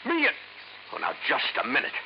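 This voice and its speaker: An actor playing an evasive, indignant suspect in an old radio drama, protesting defensively. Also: male voice, normal speed, dull audio, lightly acted. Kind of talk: mid-century American radio drama acting. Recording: band-limited old radio recording, faint noise.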